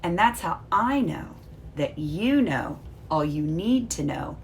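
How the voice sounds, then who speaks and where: Lower-pitched woman teacher reading to children. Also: animated, takes it slowly, creaky. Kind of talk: storybook read-aloud and explanation for children. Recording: faint background noise.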